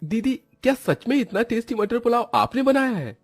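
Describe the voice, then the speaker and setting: A man's voice: very high voice, expressive, brisk, dry recording, soft background music, voice pushed up. A man voicing a sulky, scornful schoolboy brother in a cartoon story, whining and accusing. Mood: surprised.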